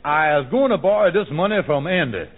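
A man's voice: on the high side, expressive, a little fast, close to an everyday voice. An actor playing a scheming small-time con man in an old American radio comedy, blustering and hemming and hawing. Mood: urgent, wheedling. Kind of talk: 1940s-50s American radio comedy acting. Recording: tinny, band-limited old radio recording.

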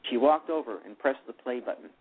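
Husky man narrating over a phone line. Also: mid-range voice, fairly quick. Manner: stumbles and restarts over words. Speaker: narrator of a radio play. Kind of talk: reading a story aloud. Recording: tinny phone-line sound.

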